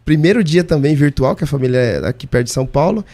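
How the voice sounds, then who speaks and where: Male pastor guest, rapid and upbeat, storytelling on a radio talk show. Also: on the high side, expressive, a little mellow, soft background music. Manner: storytelling, quick and enthusiastic. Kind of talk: casual radio conversation.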